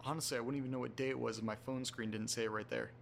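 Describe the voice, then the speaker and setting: A man playing a muddled, self-deprecating guy muttering sweary, halting asides to himself in a horror audio drama. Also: mid-range voice, fairly even intonation, creaky, close to an everyday voice. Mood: weary, self-berating. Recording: noticeable background noise with hum.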